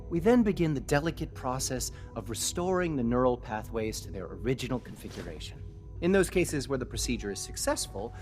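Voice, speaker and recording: Game bureau chief, played by a man: officious, curt, exact, mid-pitched, soft music underneath. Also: natural voice. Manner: formal, clipped, precise exposition. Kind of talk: scripted game dialogue.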